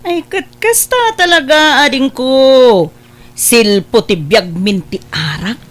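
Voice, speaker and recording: A woman's voice: sits high, expressive, takes it slowly, a bit bright, heavily acted. A woman with an Ilocano accent playing a teasing, hard-drinking elder sister in a radio comedy drama. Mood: playful.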